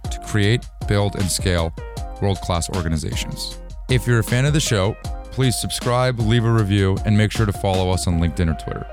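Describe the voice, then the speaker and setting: A man hosting a podcast interview, asking direct and probing questions. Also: fairly low pitch. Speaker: podcast host.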